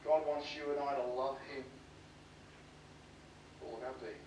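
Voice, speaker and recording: A man's voice: medium pitch, fairly even intonation, moderate pace, darkish tone. A male pastor preaching a sermon, asking the congregation earnest rhetorical questions. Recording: distant echoey sermon audio with faint noise.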